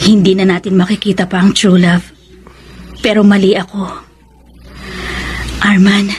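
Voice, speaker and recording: A woman's voice: mid-range voice, a little mellow, breathy edge, lightly acted. A woman playing a sweet, coy middle-aged woman newly in love in a radio drama, with a Filipino accent. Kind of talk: Tagalog romantic radio drama acting. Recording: faintly noisy radio drama track.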